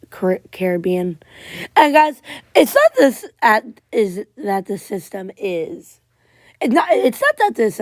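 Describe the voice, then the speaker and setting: A woman giving a casual tropical weather update, her voice going hoarse as she keeps clearing her throat and restarting her sentences. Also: mid-range voice, a little mellow, no room sound. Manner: halting, many restarts and fillers. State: losing voice, frequent throat clearing. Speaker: amateur weather forecaster.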